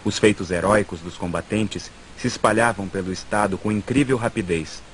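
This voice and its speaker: A man's voice: on the low side, brisk, a little hiss or hum. A man with a Brazilian accent delivering scripted documentary narration. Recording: voice over loud music. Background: prominent background music.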